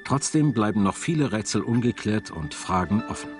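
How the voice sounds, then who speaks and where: A man narrates a documentary from a script. His voice sits fairly low. Music plays clearly behind his words. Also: lively intonation, fairly quick. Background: music behind the voice-over.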